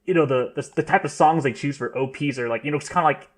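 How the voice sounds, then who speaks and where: A man co-hosting a podcast, rambling casually with lots of "like" and "you know" and a soft trailing delivery. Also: a little high, fast. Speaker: podcast co-host. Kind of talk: casual chat.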